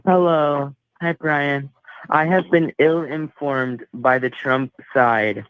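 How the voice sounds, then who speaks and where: A man's voice: fairly high pitch, slow pace, clean tone, dry recording. Dull-sounding male caller on a phone-in. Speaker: radio show caller. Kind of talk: short casual phone-in answers.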